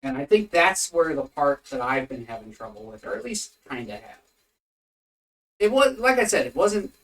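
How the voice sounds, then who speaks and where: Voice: mid-range voice, sing-song, normal speed, recorded from a distance, poor audio. Rambling teasing podcast co-host, yawny and tired, sits a bit back from the mic at home. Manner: rambling, teasing, easily sidetracked. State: yawning, tired. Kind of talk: casual religious chat podcast. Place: home room with pets.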